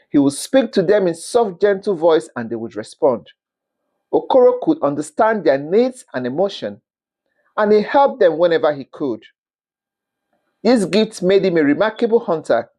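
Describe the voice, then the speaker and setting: A storyteller narrating a scripted folktale in an expressive, dramatic manner, with the occasional stumble over words. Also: medium pitch.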